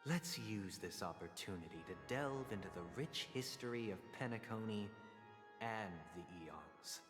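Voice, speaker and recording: A low, echoing, courtly theatrical host. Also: male voice, expressive. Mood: courteous, grand. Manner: formal, measured, solemn. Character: courteous, composed male stage-play host antagonist, grandly formal. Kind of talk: theatrical scripted game narration. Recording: long reverberant hall, music behind.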